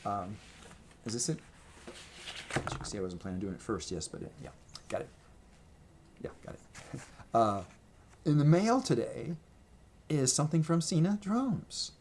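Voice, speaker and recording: A man's voice: mid-range voice, lively intonation, moderate pace, darkish tone. A man talking to his camera at home in a rambling, casual show-and-tell, full of fillers and restarts. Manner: chatty, meandering, many fillers and restarts. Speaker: vlogger showing his merch. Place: home room with gray walls and a red accent wall. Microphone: camera microphone, speaking to camera at a table.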